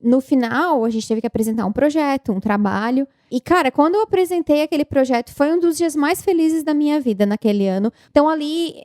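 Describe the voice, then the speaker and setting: Brazilian lady podcast host, kinda high voice, dry close sound, thinking out loud. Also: a little fast, few pauses, no room sound. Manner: reflective, earnest, conversational. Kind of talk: casual chat answering listener questions.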